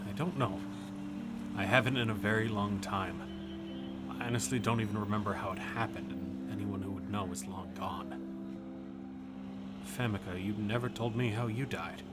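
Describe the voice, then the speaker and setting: A man's voice: fairly low pitch, normal speed, long pauses, close to an everyday voice. An actor playing a troubled, hesitant male reaper who narrates his own story in an audio drama, speaking in a subdued way. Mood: subdued, uneasy. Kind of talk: first-person narration and dialogue in audio drama. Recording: music behind the voice.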